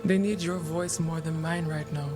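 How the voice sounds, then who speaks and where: A grave, oracular seer played by a man from a fantasy video game. The voice is high for a male and delivers cryptic lines in solemn, measured phrases, with music clearly underneath. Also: steady tone, close to an everyday voice.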